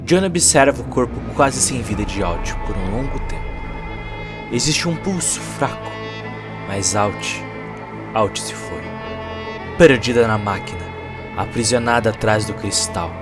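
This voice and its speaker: A man's voice: fairly low pitch, unhurried, pauses often. A man giving dramatic scripted narration of a cyberpunk story as its narrator. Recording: music behind the voice.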